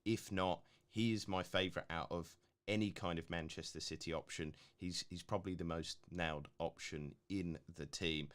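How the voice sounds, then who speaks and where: Male co-host, low pitch, a bit gruff, laid-back question reader. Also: normal speed, few pauses. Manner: relaxed, filler-heavy, laughs easily. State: slightly gruff voice. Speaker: co-host reading viewer questions. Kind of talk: casual live stream chat.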